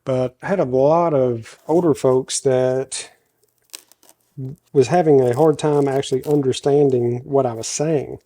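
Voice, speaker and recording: A deep, mellow-voiced man with a country American accent walks through a hands-on workshop build, muttering and correcting himself as he goes. A slight cold roughens his speech. His tone is warm, low and unhurried. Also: steady tone. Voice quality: naturally very deep voice. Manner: talks to himself while working, self-correcting. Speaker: host showing a woodworking project. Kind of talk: casual workshop tutorial, thinking aloud.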